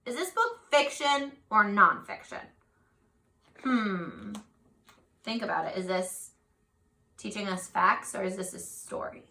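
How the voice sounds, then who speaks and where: A woman's voice: mid-range voice, expressive, slow pace, creaky, long pauses, sharp tone. A woman teaching young children in a read-aloud lesson, asking simple questions and encouraging them directly. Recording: echoey room.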